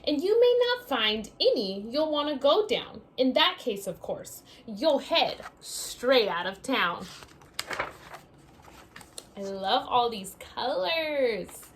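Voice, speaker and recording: A woman with a high voice reads a children's story aloud like a schoolteacher. Her delivery is unhurried and sing-song, swinging widely in pitch as she addresses young listeners. There is some steady noise on the recording. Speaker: schoolteacher reading a picture book aloud to pupils. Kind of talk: animated children's read-aloud, speaking directly to young listeners.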